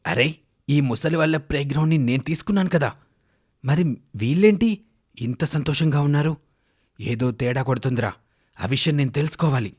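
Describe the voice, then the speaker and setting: A man's voice: a little high, moderate pace, long pauses, darkish tone, breathy edge. A menacing village thug, acted out by a man for a children's cartoon tale. He is greedy and scornful, and he orders people about like a bully. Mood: jealous, suspicious. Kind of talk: children's animated moral story.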